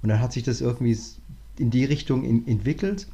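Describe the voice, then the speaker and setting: A man, a management consultant, talking through his career in a relaxed interview, thinking aloud with many restarts. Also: medium pitch, fairly quick, lo-fi sound. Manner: thoughtful, many fillers and restarts. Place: lives in Cape Town, remote interview.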